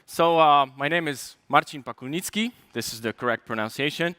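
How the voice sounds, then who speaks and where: A man's voice: fairly high pitch, fairly quick, bright, forceful tone, dry recording, studio quality. Chatty Polish man giving a tech talk. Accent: light Polish. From Poland. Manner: chatty, joking asides, frequent fillers and restarts. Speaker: conference speaker.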